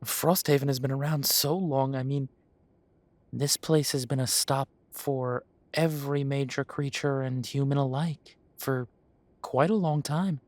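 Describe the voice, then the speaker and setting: A man playing a genial, long-lived elven café owner who reminisces in an unhurried, chatty way, in a roleplay audio drama. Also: mid-range voice, fairly even intonation, no echo, close to an everyday voice. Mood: genial, reminiscing. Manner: unhurried, musing, conversational with pauses.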